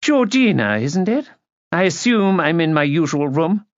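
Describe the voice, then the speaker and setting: Man voicing haughty aristocratic lady, pitched up. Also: very animated, measured pace, no room sound, lo-fi sound. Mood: haughty, indifferent. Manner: clipped, condescending, commanding. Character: haughty, imperious aristocratic woman, cutting and disdainful. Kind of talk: audiobook character voice.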